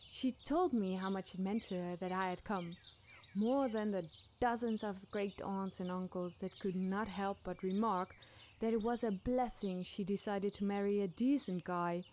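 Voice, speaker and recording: A woman's voice: mid-range voice, a little mellow, slight background noise. A woman reading a scripted scary campfire story as a podcast storyteller.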